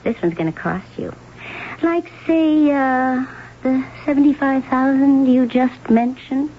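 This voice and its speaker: A woman's voice: high-pitched, a little slow. A woman playing a scornful femme fatale blackmailer in a radio drama, with an American accent and a pointed, taunting manner. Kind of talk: 1970s radio drama acting. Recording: muffled old radio recording, faint noise.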